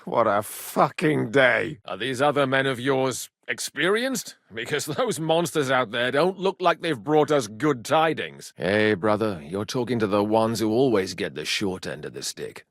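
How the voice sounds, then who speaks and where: A man voicing a gruff, sardonic, hard-drinking medieval mercenary in a video game, with a blunt, earthy, foul-mouthed way of talking. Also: medium pitch, expressive, unhurried, hardly any pauses, a bit bright, no room sound, clean studio sound. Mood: weary, subdued. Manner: earthy, blunt, swearing, wry. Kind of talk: video game character dialogue.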